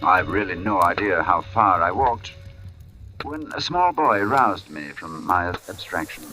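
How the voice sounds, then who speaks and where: An actor playing a brooding artist who narrates his own uncanny tale in a deliberate, literary, suspenseful old-time radio style. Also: deep voice, animated, pushed and bright, faint music behind, exaggerated voice, rough recording. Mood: brooding, uneasy. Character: brooding male artist recounting an uncanny, sweltering day. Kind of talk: old-time radio drama, first-person dramatic narration.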